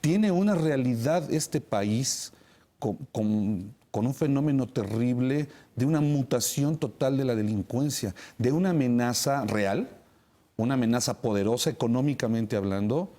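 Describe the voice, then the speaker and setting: A man's voice: mid-range voice, measured pace, warm tone. A man with a Mexican accent giving emphatic opinion commentary as an analyst in a television interview, repeating words as he builds his argument. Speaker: military affairs analyst, interview guest.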